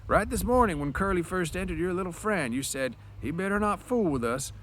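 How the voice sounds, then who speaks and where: A man's voice: high voice, lively intonation, a little fast, fairly bright tone, pitched above the performer's own voice. A man voicing a solemn, earnest ranch hand in a storybook reading. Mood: earnest agreement. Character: solemn male ranch hand backing his friend.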